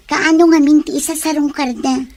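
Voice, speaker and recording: A woman's voice: sits high, fairly even intonation, normal speed, darkish tone. A woman voicing a young adult daughter who speaks eagerly and respectfully to her father and cousin.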